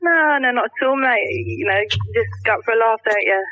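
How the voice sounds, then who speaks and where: A woman's voice: on the high side, fairly quick. A woman from England chatting casually as a radio phone-in caller over a telephone line. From Worcester, England. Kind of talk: casual chat.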